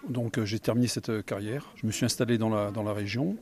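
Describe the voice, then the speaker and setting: A man's voice: fairly low pitch, fairly even intonation, quick pace, a little mellow. A male sanctuary volunteer answering hesitantly, often repeating words. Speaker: sanctuary volunteer, former gendarme. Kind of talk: interview answers.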